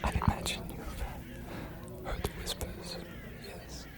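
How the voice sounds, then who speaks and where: A performer playing a courtly, bookish ancient vampire mentor in an ASMR roleplay, speaking in a breathy whisper with a formal, confiding manner. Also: lightly acted. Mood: confiding, admiring. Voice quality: breathy whisper, almost no voicing. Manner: measured, formal, confiding, philosophical.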